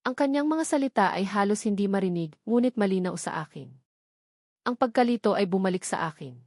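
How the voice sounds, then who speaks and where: A woman with a Filipino accent reading a scripted first-person dramatic story in a solemn, measured delivery. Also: mid-range voice, lively intonation, darkish tone, dry recording, clean studio sound. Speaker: audio drama narrator.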